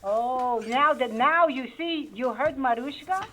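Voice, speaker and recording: A woman's voice: sits high, unhurried, fairly bright tone. A woman with a Russian accent playing a bossy wife who scolds her husband over the phone in broken English, talking about herself in the third person, for a radio comedy bit. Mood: scolding. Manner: broken English, dramatic, refers to herself by name. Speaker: caller. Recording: muffled phone line.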